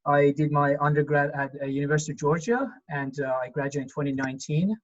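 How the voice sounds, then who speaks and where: A young man from Iran speaks. He introduces himself in an upbeat, chatty way. His voice sits a bit high, and the video call audio is muffled. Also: steady tone, quick pace. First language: Persian. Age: 20s. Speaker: panelist, medical student.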